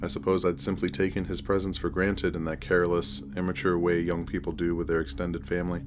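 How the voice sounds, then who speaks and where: A man narrating a scripted first-person horror story in a measured, deliberate storytelling manner. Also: low voice, level tone, over music.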